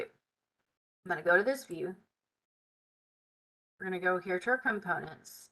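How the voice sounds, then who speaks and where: A woman with a bright, forward voice gone tired and hoarse, talking through a live software lesson at her desk mic. She mutters and hums while clicking around and keeps up an easy, chatty patter. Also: mid-range voice, low-quality recording. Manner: chatty, Southern "y'all", muttering while working. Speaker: software tutorial host. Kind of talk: live screen-share tutorial, thinking aloud.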